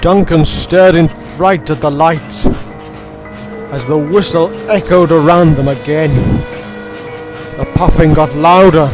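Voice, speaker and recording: A male storyteller narrates a children's tale in a dramatic, scripted style. His voice is fairly high and he takes his time, with loud music playing underneath.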